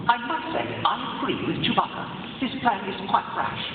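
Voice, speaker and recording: An actor playing a prim, worried, formal protocol droid, fussy and polite, in a live stage audio drama. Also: male voice, extremely high pitch, lively intonation, moderate pace, vocal fry, heavily acted. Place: very large arena stage. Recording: echoey arena, distant, tinny, noisy.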